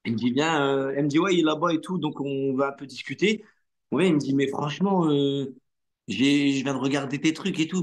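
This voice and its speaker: A man, an actor whose first language is Arabic, telling his story in a relaxed interview. He talks in a loose, slangy way with lots of fillers and quick asides. His voice sits fairly high for a man. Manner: animated anecdote-telling with street slang and many fillers.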